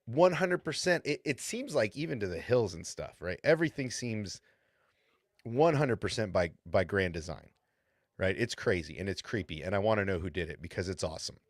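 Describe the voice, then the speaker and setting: A man with a slight lisp talks through his thoughts in a relaxed, meandering way. His voice sits low and the sound is dry and close, with no room around it. He keeps asking "right?" to pull his listener along. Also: moderate pace, dry recording. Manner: rambling, asks "right?" often. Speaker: podcast host. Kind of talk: casual chat.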